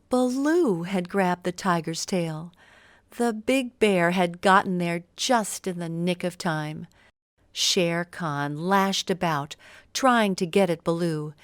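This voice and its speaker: A woman reading a scripted children's bedtime story with expressive, careful phrasing. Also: on the low side, no echo. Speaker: storybook narrator.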